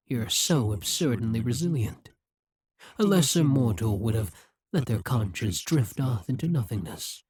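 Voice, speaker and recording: A man voicing a scheming, possessive male demon king in an audio roleplay, talking slowly and intimately into a close mic. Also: mid-range voice, a little mellow, slightly rough, dry recording, exaggerated voice. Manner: slow, measured, intimate delivery. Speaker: voice actor.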